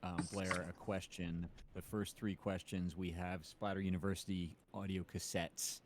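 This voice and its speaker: A man's voice: sits low, level tone, moderate pace. A man hosting a podcast stream in casual conversation. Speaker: podcast host. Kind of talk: casual chat. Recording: faint background noise.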